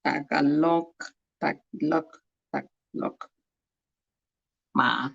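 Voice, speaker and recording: A young Nigerian woman with a lowish voice chats slowly and easily on a livestream. Igbo is her first language, and her English carries a clear Nigerian accent. She laughs often and repeats words over and over as she sounds them out. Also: steady tone, a bit bright, dull audio. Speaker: livestream host. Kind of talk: casual livestream chat.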